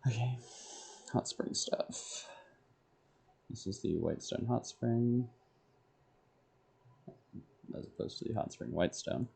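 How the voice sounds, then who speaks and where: A man's voice: mid-range voice, slow, gravelly, pauses often, dark tone, breathy edge. A man streaming a video game, thinking aloud in short murmured fragments as casual solo commentary. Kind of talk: casual solo gameplay commentary, muttering to himself.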